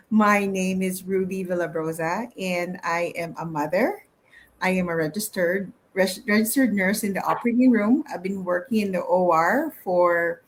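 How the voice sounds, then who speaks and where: A woman in her thirties from the Philippines, answering interview questions in a friendly, casual way with many fillers. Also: medium pitch. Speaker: guest.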